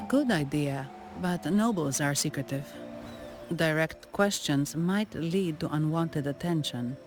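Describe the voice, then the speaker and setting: An actress playing a calm, courteous, analytical investigator in a video game, speaking in a measured, formal way. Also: female voice, low voice, music in the background. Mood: calm, considering. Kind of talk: video game character acting.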